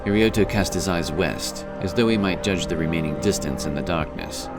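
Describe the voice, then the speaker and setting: A man narrates a scripted fantasy tale in a very low voice. Music plays clearly beneath the reading, as in a produced audiobook. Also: moderate pace.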